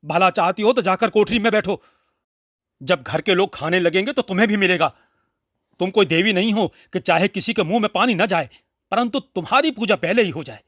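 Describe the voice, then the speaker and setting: A man's voice: high voice, fairly quick, a bit bright, no room sound, studio quality, pitched above the performer's own voice. A man voicing an angry village housewife, harsh and taunting as in a storybook reading. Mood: furious, scornful. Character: angry scolding village housewife berating an old woman.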